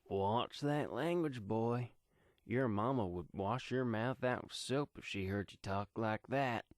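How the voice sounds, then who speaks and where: A man voicing a smug, threatening crime boss in an audio superhero story, with a deliberate, condescending drawl. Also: mid-range voice, no room sound, clean studio sound, natural voice.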